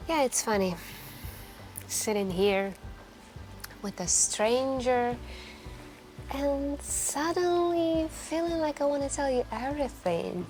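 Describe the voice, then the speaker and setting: A woman acting as a wistful, lonely woman speaks softly and slowly in an intimate ASMR roleplay. She is hesitant and confiding, drifting between thoughts with frequent pauses, over quiet background music. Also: high-pitched, animated. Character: wistful, lonely woman chatting shyly with a stranger.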